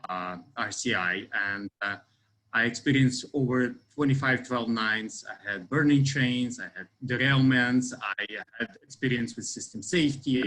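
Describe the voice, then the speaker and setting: Bright-voiced Ukrainian-accented man in his thirties. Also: medium pitch, poor audio. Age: 30s. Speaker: union election candidate. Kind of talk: campaign talk, semi-formal discussion.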